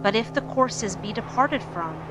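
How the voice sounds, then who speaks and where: A woman playing a solemn, ominous spirit in a radio play, measured and portentous. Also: medium pitch, expressive, loud music behind the voice, close to an everyday voice. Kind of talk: theatrical radio play acting.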